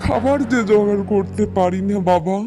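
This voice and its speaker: A man's voice: high voice, music in the background. A man playing a poor, weary, desperate village husband in a Bangla cartoon folk tale, speaking in a plaintive, rustic way. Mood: pleading. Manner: plaintive, drawn-out, rustic speech.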